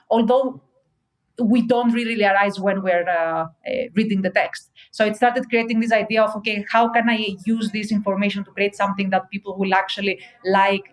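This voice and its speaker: A woman in her thirties with a Greek accent, answering interview questions earnestly with many fillers and restarts. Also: mid-range voice. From Greece. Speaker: podcast guest, SEO strategist.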